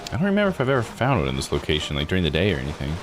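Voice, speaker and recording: A man's voice: low-pitched, huge pitch swings, racing. A man doing casual let's play commentary as a game streamer, thinking aloud in folksy, slangy phrases. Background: game music.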